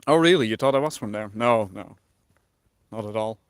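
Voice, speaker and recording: A man's voice: on the low side, normal speed, clear, resonant tone, no echo. A man from the Netherlands with a light Dutch accent, chatting casually with viewers on a livestream and musing over their questions. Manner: relaxed, musing, reads chat aloud and answers.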